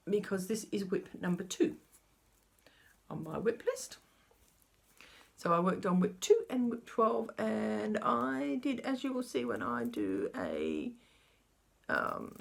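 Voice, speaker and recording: A woman's voice: mid-range voice, vocal fry, slightly rough. A middle-aged woman chatting casually through her cross-stitch update, rambling and talking to herself as she checks her notes. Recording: faint background noise.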